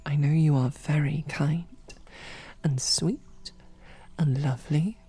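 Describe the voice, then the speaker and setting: A woman playing a composed, sarcastic female royal knight who speaks formally and often softly, close to a whisper, in an audio roleplay. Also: low voice, steady tone, takes it slowly, slightly rough, heavily acted. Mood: hurt, pleading.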